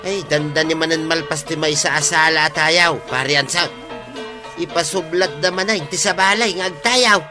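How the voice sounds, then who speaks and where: A man plays a good-natured village friend who frets and exclaims in an Ilocano radio comedy. His voice sits a little high, with an Ilocano accent. Music runs clearly behind him. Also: moderate pace, natural voice. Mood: chatty, excited.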